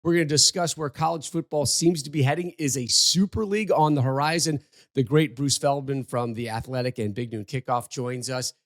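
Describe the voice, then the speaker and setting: A man's voice: mid-range voice, a little fast, dry recording. A man hosting a casual sports podcast, upbeat as he reads sponsor lines and plugs the show. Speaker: podcast host.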